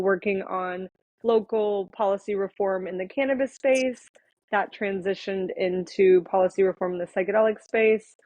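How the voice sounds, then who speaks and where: A woman in her thirties talks through her story as a podcast guest, thoughtful and unhurried, trailing into fillers and restarts. Her voice sits at a middling pitch. The sound is dry, with no room around it, and a little muffled. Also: darkish tone, dry recording. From born in Thailand, raised in Florida and India. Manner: reflective, with frequent fillers and restarts. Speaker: guest, attorney. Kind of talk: conversational podcast interview.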